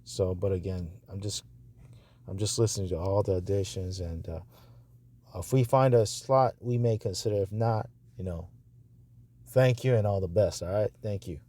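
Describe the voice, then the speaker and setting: A man talking casually and off the cuff as he reviews narrator auditions, halting and repetitive, with a reassuring manner. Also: low voice, mellow.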